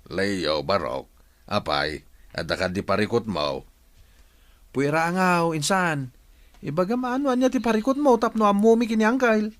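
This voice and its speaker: A man's voice: medium pitch, fairly bright tone, dry recording. A man playing a jovial uncle who teases younger folk about love in a radio drama. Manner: teasing, chuckling. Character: jovial, teasing uncle prodding lovesick young men.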